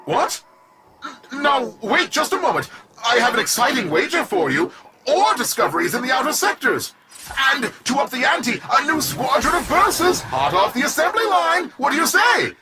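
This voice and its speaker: An actor playing an arrogant, scheming corporate boss in a video game, theatrical, wheedling and domineering. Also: male voice, very high-pitched, sing-song, normal speed, heavy background noise. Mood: smug, wheedling. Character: scheming, arrogant male corporate boss running a rigged arena. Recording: loud music behind.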